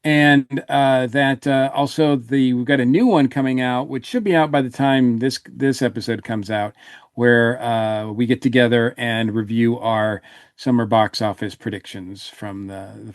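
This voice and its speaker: Male podcaster, smooth mid-range voice, close dry sound, halting casual delivery. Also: medium pitch, no room sound. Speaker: podcast regular. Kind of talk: casual chat, promoting projects.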